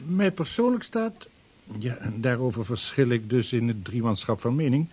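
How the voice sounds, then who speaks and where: An earnest expedition planner in a period radio drama. His husky voice sits at medium pitch. He speaks formally, with deliberate courtesy. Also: lively intonation, darkish tone. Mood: persuasive. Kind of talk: Dutch radio drama acting.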